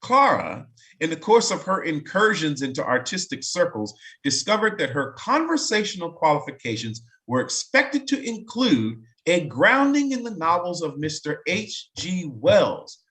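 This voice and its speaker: A man with a fairly high voice reads a story aloud as narrator. His delivery swings widely in pitch and is very expressive. He slips out of the text into wry, offhand comments of his own. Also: a little slow, low-quality recording. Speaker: narrator in a staged reading. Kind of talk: dramatic reading aloud with casual asides.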